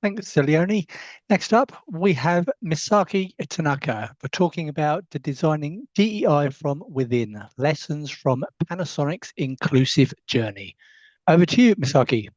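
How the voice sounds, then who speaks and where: A man's voice: a little high, animated, a little mellow, no room sound, highs cut off. A man acting as guest host, briefly introducing the next speaker. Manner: short, brisk handover.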